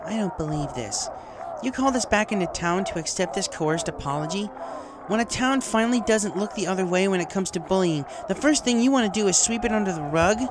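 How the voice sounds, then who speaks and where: Defiant young pony mare, as a male reader puts her on, raised pitch, proud, noisy recording. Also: expressive, a little fast. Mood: resentful, accusing.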